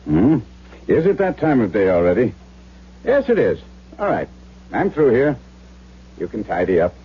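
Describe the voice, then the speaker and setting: A man's voice: mid-range voice, big pitch swings, normal speed, slightly rough. An actor playing an impatient, harried German doctor who narrates his own story in a theatrical, emphatic 1970s radio drama style. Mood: brusque. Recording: dull, noisy 1970s radio drama recording.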